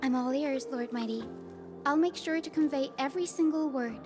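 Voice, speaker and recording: Woman playing a high-voiced, gracious young heroine. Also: normal speed, lightly acted. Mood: courteous, helpful. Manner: polite, earnest, formal. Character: gracious, optimistic young heroine of noble descent. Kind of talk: scripted fantasy game voice acting. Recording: music behind the voice.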